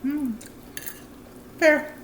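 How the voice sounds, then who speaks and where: A woman's voice: very slow, echoey room, very noisy. A woman in her thirties chatting candidly and explaining things plainly to viewers as a channel co-host. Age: 30s. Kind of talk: casual chat.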